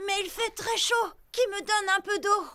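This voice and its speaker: Very high-pitched cocky young sailor boy. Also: steady tone, normal speed, bright, forceful tone. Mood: confident, breezy. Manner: breezy, self-assured. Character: boastful cheerful young boy sailor hero. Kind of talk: animated cartoon dub acting.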